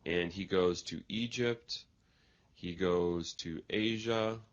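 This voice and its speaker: A man speaking slowly in a simple, carefully worded conversation made for English learners. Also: low voice, highs cut off. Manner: plain, careful wording. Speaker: conversation partner in English lesson.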